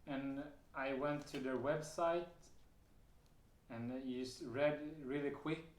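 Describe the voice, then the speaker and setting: A man's voice: mid-range voice, fairly even intonation, slow. A man with a Swedish accent sharing a personal experience to camera, hesitant and full of fillers and restarts. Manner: hesitant, many fillers and restarts, occasional soft laughs. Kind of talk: casual personal testimony to camera. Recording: roomy echo.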